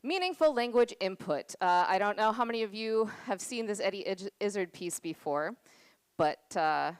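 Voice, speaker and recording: A woman's voice: mid-range voice, lively intonation, normal speed, smooth, no echo. A woman in her thirties from the United States giving a chatty, self-deprecating conference talk full of fillers. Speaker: conference presenter. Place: conference room.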